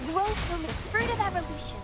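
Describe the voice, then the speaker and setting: A woman's voice: extremely high pitch, fairly quick. An actress playing a solemn young female mystic, delivering dramatic incantations in a game battle. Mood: solemn, commanding. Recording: loud music over the voice.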